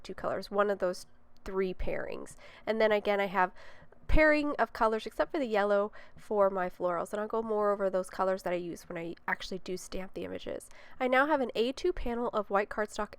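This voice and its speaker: A young woman with a bit of a stuffy, congested voice walks through a card-making project step by step. She sounds chatty and upbeat, her tone smooth and clear. It is recorded dry and close, with no room sound. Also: medium pitch, no room sound. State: congested, slightly sick. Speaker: card-making tutorial host. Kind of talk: step-by-step craft voice-over.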